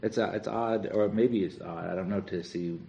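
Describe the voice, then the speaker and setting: Male interviewer, lowish voice, friendly, curious, slight background hiss. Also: fairly even intonation, normal speed, gravelly, mellow. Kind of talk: oral history interview.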